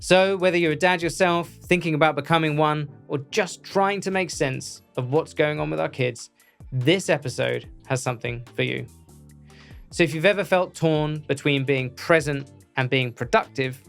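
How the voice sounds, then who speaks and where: A man's voice: fairly high pitch, vocal fry. Earnest male podcast host. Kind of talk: scripted podcast intro, then interview.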